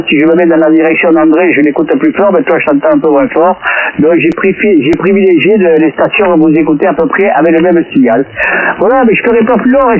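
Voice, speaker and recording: A man chatting casually as an amateur radio operator over a radio link. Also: on the high side. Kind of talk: casual radio contact chat. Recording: tinny radio transmission with background chatter.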